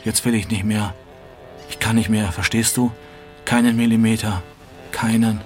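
Actor as a weary impassioned man, low voice, narrow intonation, emphatic literary declamation, music behind. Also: steady tone, moderate pace, lightly acted. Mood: exhausted, desperate. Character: exhausted, desperate man declaiming impassioned postwar prose. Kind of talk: dramatic literary performance.

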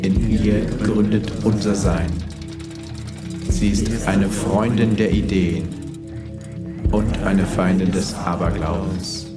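A man playing a solemn leader of machine worship, reciting measured, reverent liturgy in a radio play. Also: low voice, level tone. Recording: loud music over the voice.

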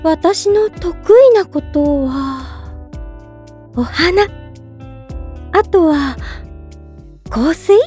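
A woman playing a gentle, polite young woman in love, narrating in character for a drama CD with sweet earnestness. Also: extremely high pitch, lively intonation, extremely slow, long pauses, faint music behind, heavily acted. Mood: thoughtful, earnest.

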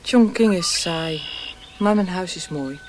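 Languid hostess, noisy recording. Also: female voice, on the low side, slow pace. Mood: languid, detached. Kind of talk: radio drama acting.

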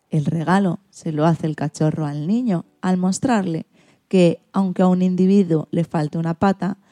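A woman's voice: lowish voice, warm tone, no echo. A woman with an accent from Spain, hosting a radio show in a scripted presenting style.

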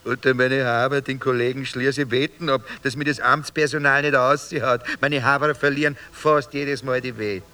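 An actor playing a talkative, wry homeless street philosopher in a radio drama, with a Viennese accent and a rambling, folksy way of talking. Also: male voice, medium pitch, steady tone, moderate pace, hardly any pauses, pushed and bright, lightly acted. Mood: musing, wry. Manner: rambling, musing, folksy storytelling with mock-grand turns. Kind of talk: radio drama acting in dialect.

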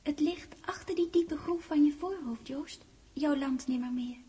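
An actress playing a tender, teasing young woman from a man's memory, in 1960s radio drama style with lyrical, deliberate phrasing. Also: high voice, mellow. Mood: wistful, haunting.

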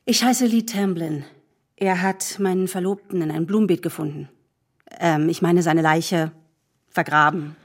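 A woman's voice: lowish voice, normal speed. An actress playing a bereaved young woman in an audio drama, wounded and guarded, hesitant yet stubbornly insistent. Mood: hesitant, strained. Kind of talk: German audio drama acting.

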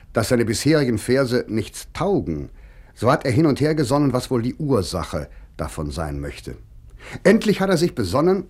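Sharp-witted, husky, animated young writer. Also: male voice, lowish voice. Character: Lessing, sharp-witted mocking young male writer and critic. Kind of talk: dramatic reading of letters and essays in a German radio feature.

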